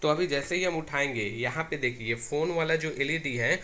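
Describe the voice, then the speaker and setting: A man with a very bright, forceful, slightly high voice teaches a hands-on technical procedure. He goes step by step and often repeats himself. The amateur recording is very noisy, with clicks. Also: brisk. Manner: repetitive, restarts phrases as he demonstrates. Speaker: technician giving a tutorial. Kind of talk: hands-on tech tutorial, explaining step by step.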